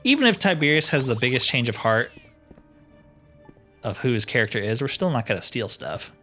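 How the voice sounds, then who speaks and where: A man's voice: mid-range voice, very animated, brisk, sharp tone, faint music behind. A man giving casual, chatty let's-play commentary, often explaining himself in asides. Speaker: host of a gaming playthrough.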